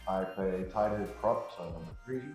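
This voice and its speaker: Man, lowish voice, relaxed and hesitant, echoey room. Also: normal speed, faint music behind. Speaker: rugby player. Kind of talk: casual panel chat. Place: indoor room with a branded backdrop.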